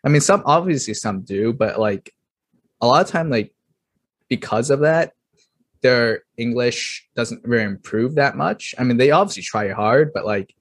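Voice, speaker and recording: Husky young man, halting and fumbling. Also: mid-range voice, animated, dry recording. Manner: halting, heavy use of "like", trailing off. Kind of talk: casual chat.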